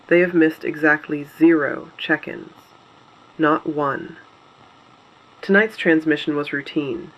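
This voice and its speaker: A gravelly actor as a male announcer of an underground resistance broadcast, his voice a little high. He is solemn, guarded and deliberate, delivering short statements in a dystopian audio drama. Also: fairly bright tone, highs cut off. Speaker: broadcast host. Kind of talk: scripted dystopian broadcast narration.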